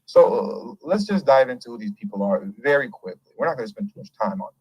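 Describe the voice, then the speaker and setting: A man of middle pitch hosts a casual commentary show, animated and emphatic. He laughs easily and drifts off on tangents before circling back. The sound is dry and close. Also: quick pace, husky, no echo, highs cut off. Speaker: host.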